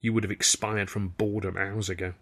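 A man's voice: on the low side, level tone, brisk, a bit bright. Man voicing a guarded young Englishwoman, firm and earnest. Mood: chatty. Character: young woman performer, brisk, guarded, firm.

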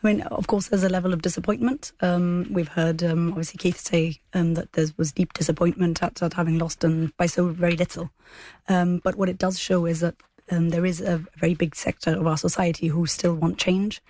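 Even-toned woman politician. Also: on the low side, no echo. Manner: measured, careful, hesitant phrasing. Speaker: opposition politician, interviewee. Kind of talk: political interview answers.

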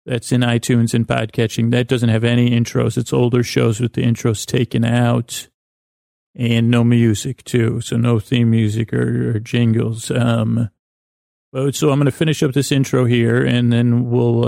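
A man hosting a bedtime podcast, improvising in a halting, meandering monologue that trails off and repeats words, with a gravelly voice. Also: mid-range voice, level tone, dark tone, no echo, studio quality. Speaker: podcast host.

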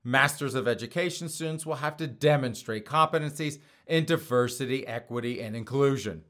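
A hoarse man hosting a show, reading news items and mocking them with frequent laughs. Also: mid-range voice, fairly quick, a bit bright. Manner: sarcastic, laughs often between phrases. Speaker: podcast host. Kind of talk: casual mocking commentary.

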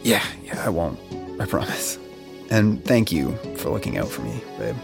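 An actor playing a stressed, self-doubting podcast host with an American accent, in hesitant, naturalistic audio drama dialogue. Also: male voice, on the low side, lively intonation, normal speed, creaky, over music. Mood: weary, unsettled. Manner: hesitant, searching, conversational.

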